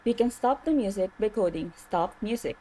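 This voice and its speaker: A woman's voice: medium pitch, expressive, moderate pace, dark tone, a little hiss or hum. A woman presenting a tutorial in scripted instructional narration.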